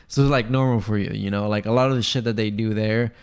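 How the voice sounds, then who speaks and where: Man, from mexico, gravelly, smooth resonant voice, rambling podcast chat. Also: lowish voice, fairly even intonation, a little fast. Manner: laid-back, rambling, swears freely. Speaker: podcast co-host. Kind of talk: casual chat.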